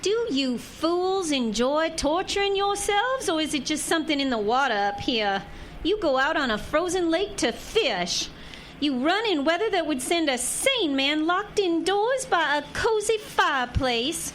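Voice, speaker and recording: A woman's voice: high-pitched, expressive, unhurried, fairly bright tone, slight background noise. A woman playing a sassy young Texan bride with a Southern accent and theatrical exclamations in an audio drama. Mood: appalled, sassy. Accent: Southern US, Texas. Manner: drawling terms of endearment, theatrical exclamations. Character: sassy young Texan bride, easily appalled by northern life.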